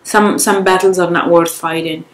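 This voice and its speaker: A woman's voice: fairly low pitch, fairly even intonation. Tired, nasal woman in an echoey room. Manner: frank, unhurried, with small restarts. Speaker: vlogger. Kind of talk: casual advice talk to camera. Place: living room with sofa, indoors.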